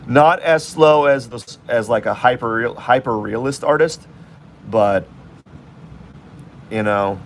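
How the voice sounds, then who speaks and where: A man's voice: medium pitch, slow, pauses often, a little hiss or hum. A man streaming live as he works on a drawing, thinking aloud in a rambling, casual way with many restarts. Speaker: artist streaming while drawing.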